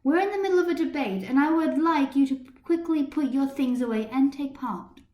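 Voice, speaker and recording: A woman's voice: high-pitched, a little fast, dark tone, pitched above the performer's own voice, natural voice. A woman voicing a firm, orderly female schoolteacher with an instructive manner, in an amateur story reading. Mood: scolding.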